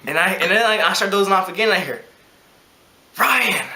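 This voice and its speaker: A young American man telling a story casually and excitably in slang, often with laughter in his voice. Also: sits high, brisk, background noise. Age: young adult. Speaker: vlog co-host telling a personal story. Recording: echoey room sound.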